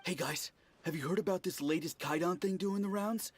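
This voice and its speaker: An actor voicing a curious, eager young townsperson in a video game, with a husky voice. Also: mid-range voice, fairly quick, slight background noise, lightly acted.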